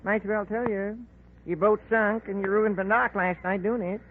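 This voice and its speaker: Actor as a high-voiced, grasping rustic islander man. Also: fairly even intonation, normal speed, natural voice. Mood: grudging, mercenary. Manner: folksy, ungrammatical rustic talk. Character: gruff grasping male islander, rustic and blunt. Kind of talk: 1940s radio drama acting. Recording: noisy narrow-band old radio recording.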